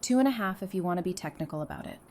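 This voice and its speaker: A woman's voice: on the low side, lively intonation, very fast, darkish tone, lightly acted. A woman acting a caring, blunt wife and mother with casual, wry banter in an audio drama. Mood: playful. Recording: noticeable background noise.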